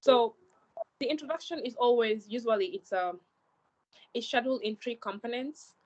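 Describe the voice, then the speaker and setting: A woman's voice: on the high side, lively intonation, normal speed, darkish tone, muffled recording, a little hiss or hum. Earnest Gambian woman giving advice. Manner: earnest, direct, repeats words for emphasis. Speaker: scholarship mentor. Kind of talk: advice talk to applicants.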